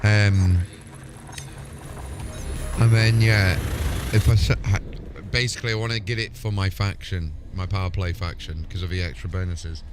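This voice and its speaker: A man's voice: low-pitched, steady tone, normal speed, pauses often, noisy background. A man hosting a gaming livestream, chatting casually in an informal, rambling way. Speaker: game livestream host. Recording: music playing behind the voice. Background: music.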